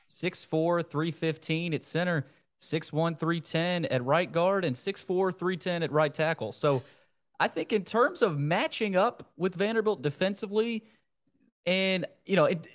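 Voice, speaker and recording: A man's voice: sits high, a little slow. A man hosting a casual sports interview, talking in a wordy, roundabout way. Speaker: sports podcast host.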